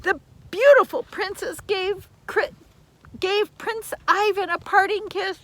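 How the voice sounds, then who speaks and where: Very high-voiced older woman storyteller, theatrical. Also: steady tone, fairly bright tone. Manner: theatrical, emphatic, drawn-out adjectives. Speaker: teacher reading stories to children. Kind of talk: dramatic children's storytelling with asides.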